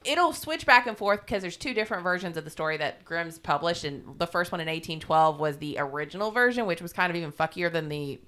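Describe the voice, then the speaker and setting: Female podcast storyteller, irreverent and informal; lowish pitch, very clear tone. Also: expressive, fast, hardly any pauses, a bit bright. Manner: irreverent, salty-tongued, informal. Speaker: podcast co-host. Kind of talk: casual retelling of a fairy tale.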